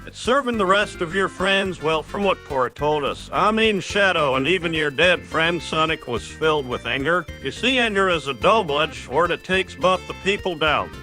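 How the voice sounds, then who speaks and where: A man's voice: fairly high pitch, animated, normal speed, hardly any pauses, sharp tone, soft background music. A man voicing a patient, preachy frog mentor who lectures and explains in an amateur cartoon comic dub. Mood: stern, lecturing.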